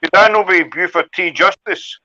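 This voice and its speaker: A man's voice: high voice, normal speed, sharp tone. Chatty Scottish man, dull lo-fi sound. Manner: chatty, rambling, self-deprecating. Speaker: host. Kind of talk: casual live chat. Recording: dull lo-fi audio.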